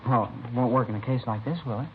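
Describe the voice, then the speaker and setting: An actor playing an eager, nervous American high school boy, chatty, hesitant and over-curious, in 1950s radio drama style. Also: fairly even intonation, fast talker, phone-quality sound, close to an everyday voice. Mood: uneasy, probing. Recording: scratchy, band-limited vintage radio recording.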